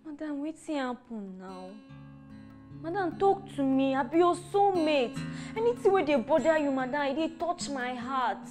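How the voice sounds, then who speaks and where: A woman voices a fawning housemaid who pleads with her madam in a Nigerian Pidgin accent. Her voice sits high, with guitar music under it. The room sounds echoey. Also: expressive, normal speed, a little mellow. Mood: pleading.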